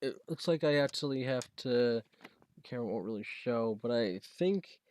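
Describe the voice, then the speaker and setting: A young American man chatting casually through a home unboxing video, halting and rambling as he goes. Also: mid-range voice, animated, darkish tone, clean tone. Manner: halting, rambling, reads box text aloud. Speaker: video creator showing a gadget. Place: basement room at home.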